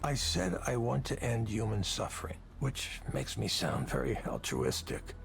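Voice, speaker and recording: An actor playing a weary, drunk, self-loathing male tech executive in a video game, with measured, gravely earnest delivery. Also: on the low side, big pitch swings, a little hiss or hum. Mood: bleak confession. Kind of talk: visual novel voice acting.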